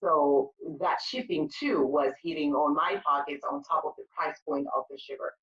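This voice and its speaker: A woman's voice: deep voice, moderate pace, a bit bright, recorded from a distance, muffled recording. A woman from Zimbabwe, a spa owner, answering interview questions in an earnest storytelling way with frequent fillers. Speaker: guest, spa owner and sugar paste maker.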